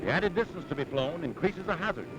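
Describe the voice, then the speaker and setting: A man's voice: on the high side, expressive, fast, background noise. An American man reading a scripted 1940s newsreel narration in a dramatic, urgent, emphatic manner. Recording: vintage 1940s newsreel soundtrack with music. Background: loud dramatic music.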